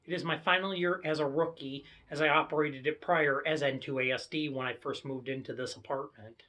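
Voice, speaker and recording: Higher-pitched American man, casual hobbyist presenter. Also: fairly even intonation, fairly quick, sharp tone. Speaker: amateur radio hobbyist presenter. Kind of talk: casual vlog walkthrough of own equipment.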